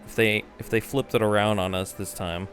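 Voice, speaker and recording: A man's voice: lowish voice, steady tone, fairly quick. A man giving casual live gameplay commentary, slangy, sweary and rambling. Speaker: game streamer. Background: game music.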